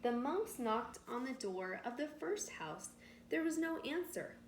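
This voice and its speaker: A woman's voice: sits high, sing-song, a little slow. A woman reading a storybook aloud expressively to children, with simple explanations, like a teacher. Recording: roomy echo with faint background noise.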